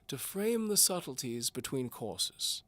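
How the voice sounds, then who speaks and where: A man's voice: medium pitch, big pitch swings, a little slow, fairly bright tone, voice pushed up. A man voicing a doddering, pompous old duke and amateur alchemist in an audio drama, fussy and formal. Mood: imperious. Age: older. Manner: pompous, formal, hesitant blustering. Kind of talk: audio drama character acting.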